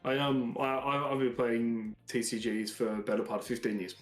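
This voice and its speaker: A man's voice: mid-range voice, fairly even intonation, moderate pace. A man in his thirties with an Australian accent, co-hosting a casual card-game chat with an enthusiastic, agreeable manner. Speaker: podcast co-host. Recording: roomy sound with faint music.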